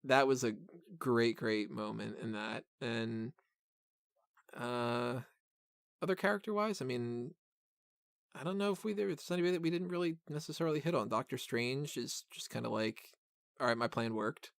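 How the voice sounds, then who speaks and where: A man's voice: mid-range voice, moderate pace, creaky, no echo, clean studio sound. A man hosting a podcast and talking casually about films in a rambling, informal, mildly profane fan style. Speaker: podcast host reviewing films. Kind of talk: casual film discussion.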